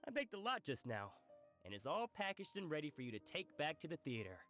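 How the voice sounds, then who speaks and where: An actor playing a genial, joking food-stall merchant in a game, hearty and teasing. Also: male voice, fairly high pitch, sing-song, a little fast, fairly bright tone, soft background music. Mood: generous.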